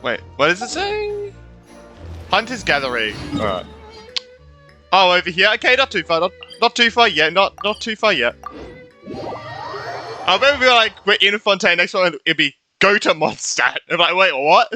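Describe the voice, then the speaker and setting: Young man, very bright high-pitched voice, excitable, rambling, casual game reaction commentary. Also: normal speed, pauses often. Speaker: streamer playing a video game. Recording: game music faintly behind.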